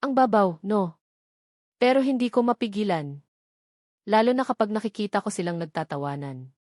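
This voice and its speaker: A woman acts out a lovestruck young woman confiding in her diary, tender and insecure, with a Filipino accent. The pitch is medium for a woman. It is an audio drama recorded close and dry. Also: lively intonation, normal speed, darkish tone, dry recording, close to an everyday voice. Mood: jealous, uneasy.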